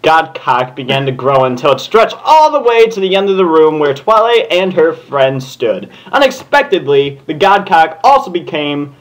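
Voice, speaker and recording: A man's voice: a little high, very animated, moderate pace, few pauses. A man reading aloud informally and comically with banter, giggly, playful and prone to slips. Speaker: fan fiction co-reader.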